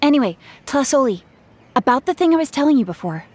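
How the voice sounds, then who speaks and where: An upbeat teasing adventurer. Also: female voice, sits high, big pitch swings, fast, noisy background. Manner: upbeat, teasing, playful salesy flair. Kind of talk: game cutscene dialogue. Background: game music.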